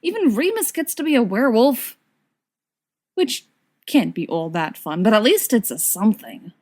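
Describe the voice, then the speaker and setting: Expressive higher-voiced woman reading fiction. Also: moderate pace. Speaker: audiobook reader of fan fiction. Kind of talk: expressive scripted fiction narration.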